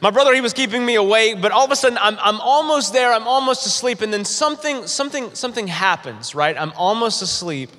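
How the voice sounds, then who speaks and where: A pastor from North Carolina preaching an energetic sermon, full of quick restarts and calls to the congregation. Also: male voice, high voice. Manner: energetic, stammering restarts, calls for audience response. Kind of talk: sermon with humorous storytelling. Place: large church auditorium. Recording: long reverb of a large hall.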